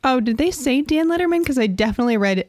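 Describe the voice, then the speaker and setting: Lively woman chatting, slightly high voice. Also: fast, no room sound. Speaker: co-host of a comic book discussion show. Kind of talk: casual chat.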